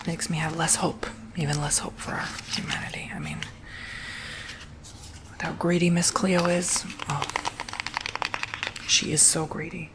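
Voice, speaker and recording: A man whispering softly and gently through an ASMR roleplay, as if doing someone's makeup. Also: fairly high pitch. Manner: soft whispering, slow and gentle.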